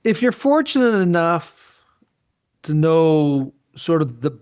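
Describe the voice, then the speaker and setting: A man's voice: a little high, big pitch swings, takes it slowly, darkish tone, clean tone. A man hosting a podcast in casual conversation, speaking in an enthusiastic, rambling way and often repeating himself. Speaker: podcast host.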